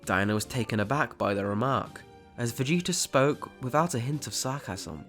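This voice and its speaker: A man's voice: medium pitch, lively intonation, moderate pace, a bit bright. A man narrating a scripted dramatic story as its storyteller. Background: background music.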